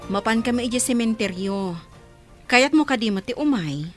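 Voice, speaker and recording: Woman as a lively, high-pitched motherly figure. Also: moderate pace, soft background music. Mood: friendly, teasing. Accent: Ilocano. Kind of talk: Ilocano radio drama acting.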